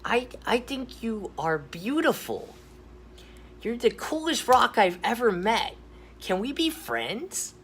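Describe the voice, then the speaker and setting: A kind young male gator in a storybook tale stammers earnestly, his voice lifted high and bright as a man acts him out. He stumbles over his opening words and keeps saying sorry. His voice carries a little faint hiss from the home recording. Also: big pitch swings, slow, exaggerated voice. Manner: stammering, apologetic.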